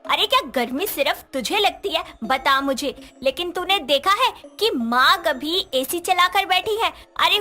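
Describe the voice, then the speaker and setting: A woman voicing a sassy but caring young student, an elder sister, in an animated moral story. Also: sits high. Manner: cheeky, scolding, emphatic.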